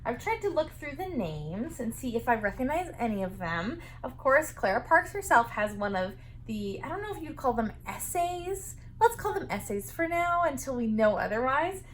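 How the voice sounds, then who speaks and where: A woman's voice: high-pitched, big pitch swings, moderate pace, few pauses, slight background noise. A woman in her twenties, chatting casually about a knitting book while sitting up in bed, with a lot of "like" fillers. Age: 20s. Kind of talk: casual reading vlog.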